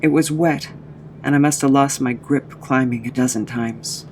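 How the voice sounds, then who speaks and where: A man's voice: mid-range voice, steady tone, moderate pace, a little hiss or hum, lightly acted. A man playing a guilt-ridden man who recounts his tragic past in a brooding, remorseful dramatic monologue. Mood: grim, tense. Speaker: narrator of own backstory.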